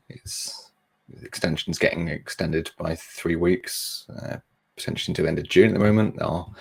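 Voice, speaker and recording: A man from England answering questions on a video call in a measured, deliberate way. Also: deep voice, vocal fry, darkish tone. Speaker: interviewee.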